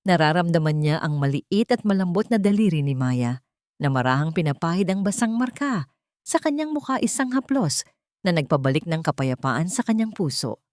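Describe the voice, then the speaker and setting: A woman telling a scripted night-time drama story in a measured, dramatic read. Her voice sits on the lower side, and the recording is dry and close, with no room sound. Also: big pitch swings, a little mellow, dry recording. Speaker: narrator.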